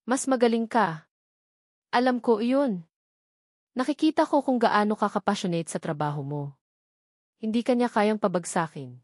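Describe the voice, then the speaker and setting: Woman as young wife, proud, hesitant, secretly caring, romance drama, mid pitch, dry recording. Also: expressive, normal speed, long pauses, a little mellow, dry recording, lightly acted. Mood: earnest, protective.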